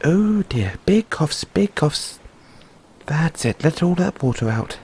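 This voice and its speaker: A man's voice: fairly high pitch, sing-song, slow, darkish tone, a little hiss or hum, heavily acted. A man playing an earnest, cheery merman prince in an audio roleplay, speaking in a theatrical, exclamatory way with genteel, fussy politeness. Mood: anxious, urging. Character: young, cheery, dramatic, naively earnest merman prince of Atlantis. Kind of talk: audio roleplay addressed to the listener.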